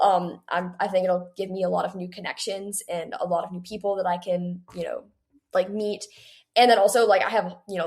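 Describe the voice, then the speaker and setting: A woman's voice: lowish voice, vocal fry, darkish tone. A woman of eighteen answering interview questions as a guest author in a chatty, conversational way, often saying "like". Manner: chatty, heavy use of "like". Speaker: guest, young adult author.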